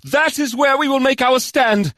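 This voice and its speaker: A man's voice: extremely high pitch, normal speed, bright, forceful tone, no room sound, clean studio sound, voice pushed up. A man voicing a woman bard warrior in an audiobook, wistful yet fervent, with an earnest, declamatory delivery. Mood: rousing, commanding. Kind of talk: audiobook character voice.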